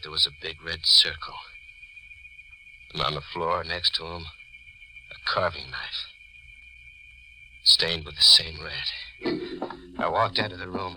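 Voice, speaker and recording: Low-voiced, jittery American noir everyman. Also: gravelly. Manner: jittery, hesitant in dialogue, hard-boiled in narration. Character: nervous, cornered man narrating his own crime tale. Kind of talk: 1940s radio noir acting and narration. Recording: noisy vintage 1940s radio recording.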